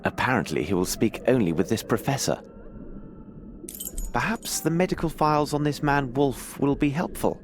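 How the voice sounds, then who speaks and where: An actor playing a cerebral Victorian detective in a video game, with a formal, articulate way of musing aloud. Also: male voice, medium pitch, animated, normal speed, slight background noise, lightly acted. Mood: composed, wry. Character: Victorian male detective, cerebral, self-assured, inquisitive. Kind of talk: video game dramatic narration to himself.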